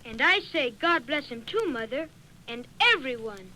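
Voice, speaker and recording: A woman's voice: high-pitched, expressive, normal speed, very noisy. A woman voicing a sweet, frail, pious little Victorian boy in a radio drama. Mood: earnest.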